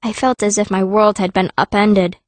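A woman's voice: mid-range voice, level tone, normal speed, no room sound, lightly acted. An actress voicing a fierce, blunt young girl mage who narrates an audiobook in the first person. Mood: narrating. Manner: blunt, youthful.

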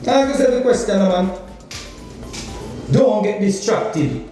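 A middle-aged Jamaican man rants about politics into a phone held out at arm's length, repeating himself and firing off rhetorical questions. His high voice sounds a little distant from the mic. The room echoes heavily, with faint music underneath. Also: animated, takes it slowly, dark tone. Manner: insistent, rhetorical questions, emphatic repetition. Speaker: political vlogger. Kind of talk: political commentary rant. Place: indoor kitchen or room.

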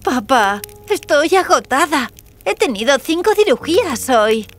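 Young princess surgeon acted by a woman, caring, teasing, animated high voice, cartoon fairy tale. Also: unhurried, a little hiss or hum, full character voice. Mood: weary.

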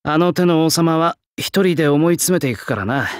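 An actor playing a proud young male swordsmith spirit in an anime-style game, talking in a rough, blunt, old-fashioned working-class way. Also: on the high side, normal speed, dry recording, studio quality, natural voice. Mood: gruff, concerned. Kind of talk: anime-style mobile game character lines.

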